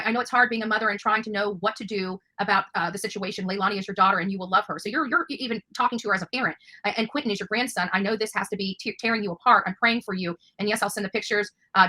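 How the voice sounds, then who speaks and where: A woman's voice: mid-range voice, bright, forceful tone, low-quality recording. A woman hosting an online interview in a chatty, emphatic and opinionated way. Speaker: interviewer and online show host. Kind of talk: chatty interview, reading out text messages.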